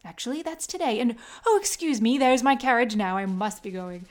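An earnest American machinist lectures like a tour guide, read by a woman. His voice sits a bit high. He explains patiently, as if showing visitors around. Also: lively intonation, a little fast, a little mellow, close to an everyday voice. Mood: cheerful.

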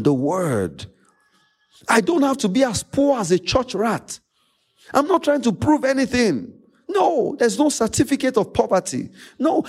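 Male pastor, Nigerian accent, Yoruba native. High-pitched, swooping delivery; emphatic sermon with repeated phrases and dramatic pauses. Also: darkish tone, slightly rough. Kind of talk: preaching a sermon.

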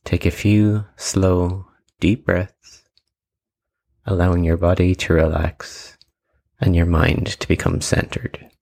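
A man leading a guided meditation with calm, measured instructions and long pauses between phrases. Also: low voice, gravelly.

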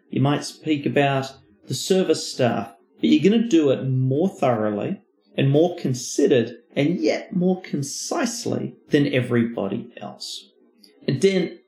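A man's voice: medium pitch, a little mellow. A man co-hosting a podcast, explaining as an expert in an emphatic, conversational manner. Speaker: podcast co-host. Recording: roomy, echoing voice recording.

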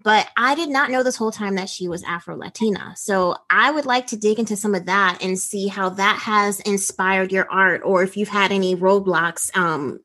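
A woman's voice: medium pitch, normal speed, hardly any pauses, pushed and bright. A woman hosting a casual interview, enthusiastic and affirming toward her guest. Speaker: podcast host.

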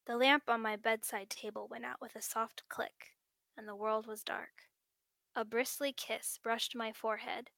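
A woman's voice: a little high, pushed and bright, no echo. A woman co-hosting a fiction podcast, narrating a story and then chatting about it in an earnest, thoughtful way. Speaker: podcast co-host and story reader. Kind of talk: story narration and casual chat.

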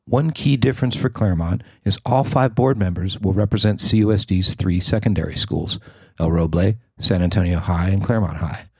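A man's voice: low voice, a little fast, slightly rough, no room sound. A man reading scripted news narration as a podcast host and reporter.

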